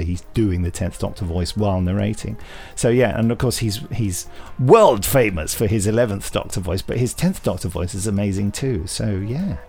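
A man's voice: lowish voice, vocal fry, a little mellow. A British man hosting a podcast, chatting casually about news in a hesitant, rambling way. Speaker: podcast host. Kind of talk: casual chat about audio drama news.

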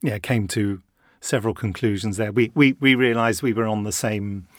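A man's voice: on the low side, fairly even intonation, normal speed, dry recording. A man hosting a podcast in a recording studio, chatting casually and rambling with lots of restarts. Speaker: podcast host.

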